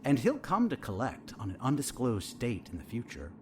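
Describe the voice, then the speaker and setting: A man playing a sinister, smug therapist in a horror audio drama, measured and theatrical, with a falsely soothing, condescending manner. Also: mid-range voice, wildly swooping pitch, noisy background.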